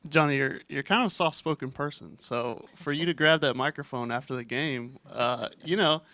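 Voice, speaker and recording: A man's voice: medium pitch, moderate pace, bright, forceful tone, no echo. A man, a sports reporter at a press conference, who rambles and restarts his questions. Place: press room.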